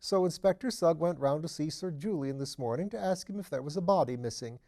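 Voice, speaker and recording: A man's voice: a little high, fairly quick, darkish tone, pitched above the performer's own voice, lightly acted. A man voicing a nervous, obliging, chatty Englishman who is easily agitated, as a character in an audiobook. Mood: earnest, chatty. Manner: agitated, chatty, deferential, rambling.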